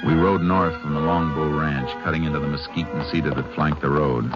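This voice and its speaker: An actor playing a terse, authoritative Texas Ranger in an old radio western, plain and matter-of-fact. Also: male voice, very deep voice, fairly even intonation, brisk, loud music behind the voice. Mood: sober narrating. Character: stern, terse Texas Ranger lawman, also narrating. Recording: dull old radio drama recording.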